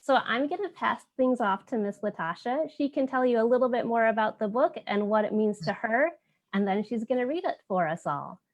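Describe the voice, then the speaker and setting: A woman's voice: on the high side, lively intonation, fairly quick, muffled recording. A woman hosting a virtual children's story time, explaining things in simple, child-friendly language.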